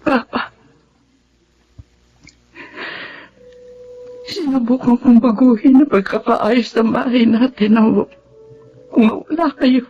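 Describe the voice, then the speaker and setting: A woman's voice: on the high side, lo-fi sound, close to an everyday voice. An actress playing a grieving, tender orphaned young woman in a Tagalog radio drama, speaking tearfully. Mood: wistful. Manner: tearful, emotional delivery.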